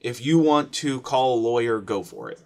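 A man's voice: medium pitch, moderate pace, darkish tone. A man in his thirties with an American accent, explaining things casually and bluntly to camera as a video host. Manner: opinionated, conversational, blunt. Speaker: host of an emergency radio advice channel. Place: furnished room at home.

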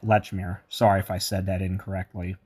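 A man's voice: deep voice, level tone, brisk, darkish tone, slightly rough. A man giving an enthusiastic walking tour of a mall, mixing its history with chatty asides. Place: indoor shopping mall.

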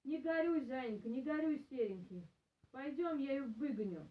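A woman's voice: sits high, expressive, normal speed, narrow, tinny audio. A woman voicing a helpful grey wolf from a folk tale, concerned and firm. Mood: comforting. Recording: roomy, distant camera microphone.

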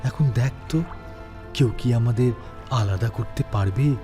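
A man voicing a tender, devoted young village lover, soft and consoling, in an audio drama. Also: mid-range voice, measured pace, lightly acted. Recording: music behind the voice.

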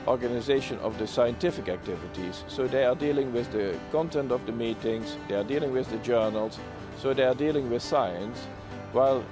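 Older guy giving a formal intro outside a convention center, mid pitch, music playing under it. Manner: measured, formal, with occasional hesitations. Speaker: society chairman presenting the organization. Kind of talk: scripted promotional address. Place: outdoors in front of a convention center. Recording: speech over background music. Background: background music.

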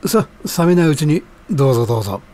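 A man's voice: a little high, very animated, fast talker, warm tone. An actor playing a polite, genial middle-aged ramen stall owner and father in an audio drama, speaking humbly and courteously. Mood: humble, grateful. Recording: audible background noise.

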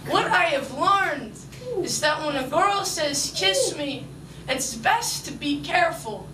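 A woman's voice: high-pitched, expressive, takes it slowly, sharp tone. A young woman in her twenties performing spoken word poetry on a small club stage with rhythmic, emphatic delivery. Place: small club stage under red lights. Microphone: stage mic on stand. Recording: roomy live sound from a distance, noisy.

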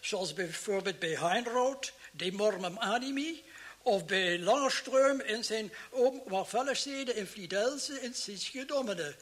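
An actor playing a muddled, pedantic toxicology professor in a vintage radio play, halting and repeating himself. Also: male voice, high voice, expressive, fairly bright tone, full character voice. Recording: noisy old radio drama recording.

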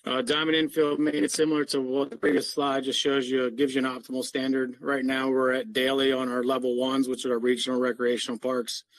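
A man's voice: mid-range voice, little pitch movement, brisk, hardly any pauses, no room sound, low-quality recording. A middle-aged man giving an explanatory, businesslike slide presentation as a parks maintenance official on a video call. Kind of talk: slide presentation to a board meeting.

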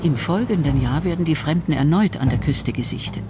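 A man's voice: on the high side, expressive, brisk, noisy background. A man reading scripted documentary narration in the role of narrator.

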